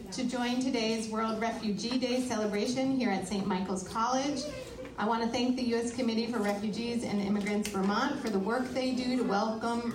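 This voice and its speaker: A woman reading a letter aloud at a public event, brisk and adding her own asides. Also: on the high side, far from the mic. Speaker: reader of a message. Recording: roomy, from afar, crowd behind.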